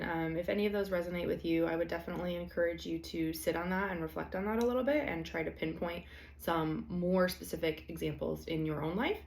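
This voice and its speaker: A young woman hosting a solo podcast, slightly hoarse from allergies, talking in a casual, chatty way with frequent fillers. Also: on the low side, darkish tone, slight background noise. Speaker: podcast host. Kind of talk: solo podcast talk on productivity.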